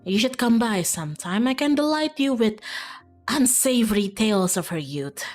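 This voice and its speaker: A woman voicing a kindly, hospitable old small-town woman in a visual novel, gracious, gentle and folksy. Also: a little high, expressive, moderate pace, soft background music, close to an everyday voice. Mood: fond, sympathetic.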